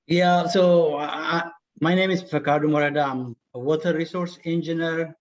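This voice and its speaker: A man from Ethiopia with an Ethiopian accent giving an earnest, somewhat halting spontaneous comment in an online session. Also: a little high, fairly even intonation. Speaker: audience participant, water resource engineer. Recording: distant, dull video call audio.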